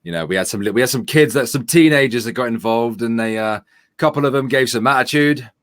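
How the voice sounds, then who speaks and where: A man's voice: medium pitch, fast talker, fairly bright tone, dry recording, clean studio sound. A man, co-hosting over a remote call, telling rambling anecdotes in a chatty way. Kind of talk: casual livestream chat.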